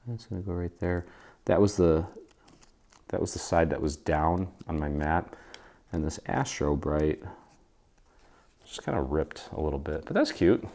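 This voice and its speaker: Low-pitched American man, casual craft host. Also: expressive, unhurried, pauses often, dark tone. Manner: informal, easygoing instruction. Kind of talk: casual step-by-step craft tutorial. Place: indoor craft studio.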